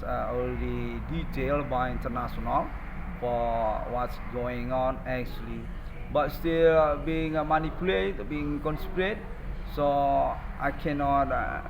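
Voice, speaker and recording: Indonesian-accented man rambling over loud background noise. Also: mid-range voice. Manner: halting, disjointed, non-fluent English. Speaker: self-styled online presenter. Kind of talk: rambling spoken monologue to viewers. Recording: loud ambient vehicle noise behind.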